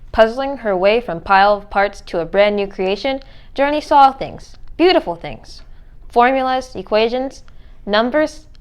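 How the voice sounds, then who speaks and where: Higher-voiced woman reading a storybook. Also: smooth. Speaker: read-aloud reader. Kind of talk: children's storybook read-aloud.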